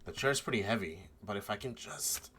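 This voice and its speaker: A man's voice: lowish voice, brisk, bright, forceful tone, faint music behind. A man voicing an earnest, anxious teenage schoolboy who narrates his own mystery in a visual novel read-aloud, with dramatic expression. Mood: tense narration.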